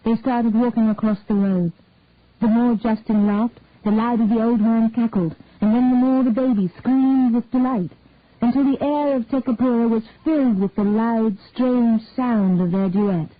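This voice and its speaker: Brief: woman reading a mystery aloud, steady delivery, thin phone-like dry sound. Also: on the high side, moderate pace, no room sound. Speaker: narrator. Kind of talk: scripted narration of a detective story.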